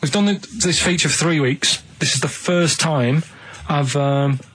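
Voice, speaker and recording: A man with a Manchester accent co-hosting a comedy radio show in casual banter, halting and matter-of-fact as he explains himself. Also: fairly high pitch, a bit bright, no room sound, soft background music, poor audio.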